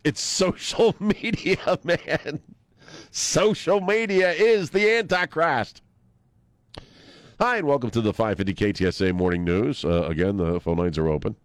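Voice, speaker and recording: A man's voice: medium pitch, expressive, measured pace, no room sound. A man hosting a morning talk radio show, stuffed up with a chest cold, wry and jokey as he chats with his co-hosts. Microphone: studio microphone.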